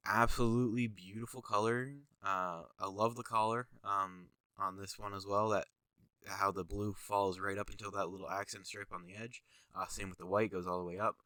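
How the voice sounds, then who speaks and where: A man's voice: on the low side, bright, forceful tone, no room sound. A young man with a stuffy nose, talking casually and rambling with lots of fillers as he reviews his own jersey designs. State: stuffy nose, sniffling, tired. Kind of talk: casual commentary over design images.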